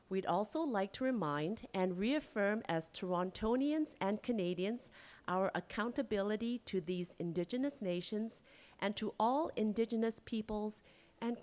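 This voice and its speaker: A woman's voice: mid-range voice, a little mellow. Woman reading a formal introduction. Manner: measured and formal. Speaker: library event presenter.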